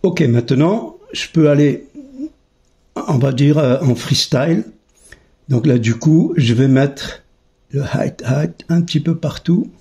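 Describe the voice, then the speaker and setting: A man's voice: on the high side, takes it slowly, dark tone. A man giving a casual, hands-on tutorial as a teacher, thinking aloud in a hesitant way as he goes. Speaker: music teacher, channel host. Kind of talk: casual spoken tutorial demonstration.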